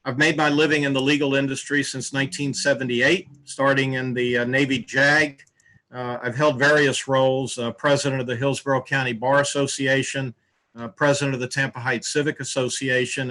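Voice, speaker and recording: Bright-voiced older man, formal and polished. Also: medium pitch, flat delivery, normal speed. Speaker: candidate. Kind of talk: debate introduction.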